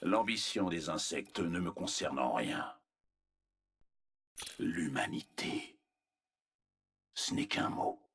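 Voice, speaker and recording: An actor playing a cold, arrogant, menacing scientist villain in a video game, speaking in a measured, condescending, clinical manner with a husky voice. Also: male voice, low-pitched, lively intonation, fairly bright tone, exaggerated voice. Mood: detached menace. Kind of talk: scripted video game character voice lines.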